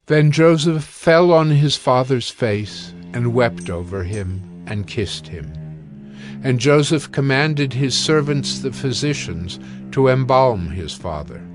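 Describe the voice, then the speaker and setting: A man narrating scripture in a solemn, measured and formal scripted style. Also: mid-range voice.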